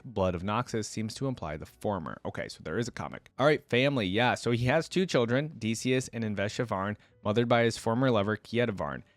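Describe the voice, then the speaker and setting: A man's voice: on the low side, no room sound. A man hosting a reaction video, reading game lore aloud and dropping in informal, casual asides. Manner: informal asides between read passages.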